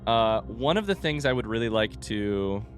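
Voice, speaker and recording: Male streamer, low animated voice, slightly sick, rambling through a playthrough with light music behind. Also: normal speed. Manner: rambling, informal, mild swearing. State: voice affected by being sick. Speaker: video game streamer playing blind. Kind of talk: casual gameplay commentary, thinking aloud.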